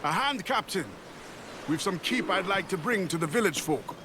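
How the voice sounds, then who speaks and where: Stern, animated pirate quartermaster. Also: male voice, high-pitched, normal speed. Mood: dutiful. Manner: formal, commanding, exacting. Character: stern, principled male quartermaster of a pirate crew. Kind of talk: video game cutscene acting. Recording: dialogue over clear background noise.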